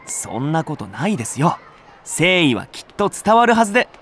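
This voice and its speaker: A man's voice: a little high, big pitch swings, moderate pace, fairly bright tone, some chatter behind, slight background noise. An actor playing an earnest, clumsy young male nursery teacher in an audio drama, with a polite, easily flustered manner. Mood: earnest protest. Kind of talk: Japanese audio drama acting.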